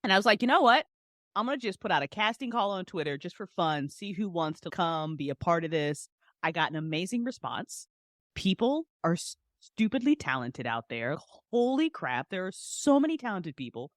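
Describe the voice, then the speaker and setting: A woman's voice: fairly low pitch, sing-song, normal speed, dry recording, clean studio sound. A woman in her forties chatting casually as a podcast guest, talking in an informal, slangy, emphatic way. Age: 40s. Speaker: podcast guest, audio drama creator. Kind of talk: casual chat.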